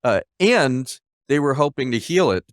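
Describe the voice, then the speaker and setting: A man's voice: mid-range voice, expressive, normal speed, no room sound, studio quality. A man hosting a conversational interview, speaking hesitantly as he searches for his words. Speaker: interviewer, host.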